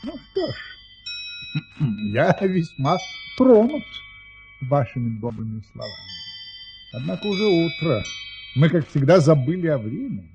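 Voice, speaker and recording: A dull, lo-fi radio play recording with faint music. A grand, cheerful baron spins tall tales. His high voice moves in lively, theatrical sweeps with courtly flourish. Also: measured pace, long pauses. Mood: gracious, proud. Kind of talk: Soviet radio play acting.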